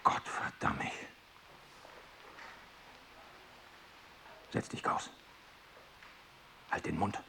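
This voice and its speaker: An actor playing a harsh village schoolteacher who likes to beat his pupils, barking orders and then turning hushed and menacing in a radio play. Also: slow, in a whisper. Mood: hushed, stunned. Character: harsh old-school male village teacher who loves beating pupils.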